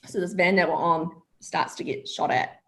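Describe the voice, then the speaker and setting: A woman's voice: lowish voice, moderate pace, fairly bright tone, poor audio. A young woman with a New Zealand accent, a confidence coach chatting as a guest over a video call and telling stories with plenty of ums. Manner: chatty storytelling, frequent ums. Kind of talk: casual video call interview.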